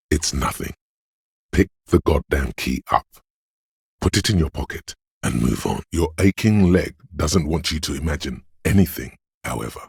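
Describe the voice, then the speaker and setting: A man voicing a grim, goading inner voice of pain and endurance in a video game, narrating with slow, weighty, intense delivery and a husky, gravelly tone. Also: extremely low pitch, no echo, studio quality, exaggerated voice. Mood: goading, stern.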